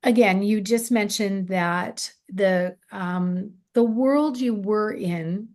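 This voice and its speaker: A woman's voice: medium pitch, slow, a little mellow. A woman hosting a conversational interview, reflective and playing with words, often affirming her guest. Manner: reflective, plays with words, many affirming fillers. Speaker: podcast host.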